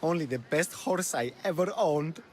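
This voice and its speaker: A man's voice: a little high, lively intonation, normal speed, slight background noise, natural voice. An actor playing an anxious, sentimental male oil merchant in a video game, with a pleading, effusive delivery. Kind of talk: dramatic video game dialogue.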